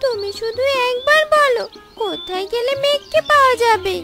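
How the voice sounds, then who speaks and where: A woman's voice: very high-pitched, lively intonation, normal speed. A woman voicing an eager, innocent little girl bird in a children's cartoon, with a childlike, pleading way of talking. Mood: earnest pleading. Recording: music behind the voice.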